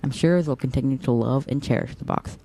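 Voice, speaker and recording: A man's voice: medium pitch, brisk, mellow, natural voice. A man playing a whimsical, teasing young operative in an audio drama, talking in a playful, mock-dramatic way.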